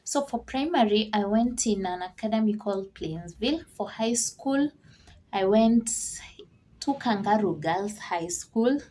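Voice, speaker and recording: A woman's voice: mid-range voice, lively intonation, unhurried, mellow, low-quality recording. A young woman from Kenya, chatting earnestly as she answers viewer questions in a casual vlog, with fillers and restarts. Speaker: vlogger.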